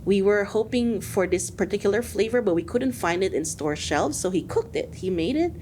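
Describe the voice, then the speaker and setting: A woman's voice: mid-range voice, expressive, moderate pace, darkish tone. A woman business owner answering interview questions in an upbeat way, laughing easily. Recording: faint background noise.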